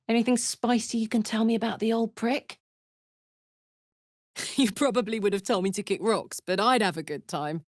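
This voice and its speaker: A woman's voice: mid-range voice, dry recording, clean studio sound, close to an everyday voice. An actress voicing a brash, big-hearted young female warrior in a video game, blunt and swaggering, tough yet playful and emotionally open. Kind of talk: video game character voice lines.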